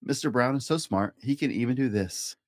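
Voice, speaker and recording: A man reading a rhyming children's picture book aloud in a playful, expressive way, as a storytime host. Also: medium pitch, fairly quick, dry recording. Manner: playful, acts out animal and object sound effects.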